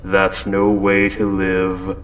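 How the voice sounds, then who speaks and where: A man voicing a calm, possessive spaceship AI in an audio roleplay, speaking in a measured, formal, softly coaxing way. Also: low voice, slight background noise. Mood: probing, persuasive. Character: calm, possessive spaceship AI turning quietly obsessive. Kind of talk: scripted audio roleplay monologue. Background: ambient sound effects.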